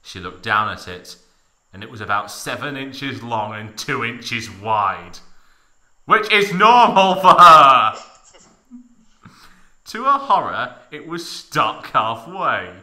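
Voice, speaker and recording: A man's voice: mid-range voice, big pitch swings, slow, long pauses, bright, forceful tone. A man narrating a comic dramatic reading in a mock-serious, theatrical manner with heavy emphasis.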